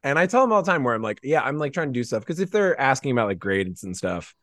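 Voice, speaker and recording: A man's voice: medium pitch, big pitch swings, quick pace, fairly bright tone, no room sound, studio quality. A man chatting casually as a podcast guest, rambling and self-deprecating, with lots of "like" in his talk. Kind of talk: casual chat, anecdotes.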